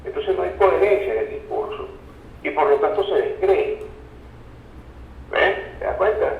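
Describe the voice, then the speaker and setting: A dull, echoey recording of a Venezuelan man, set well back from the microphone with faint noise around him. He explains analytically as an interview guest. His delivery is halting and didactic, with frequent checks that the listener follows. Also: high-pitched, lively intonation, gravelly. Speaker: interview guest, communication researcher. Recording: roomy, distant, dull sound, faint noise.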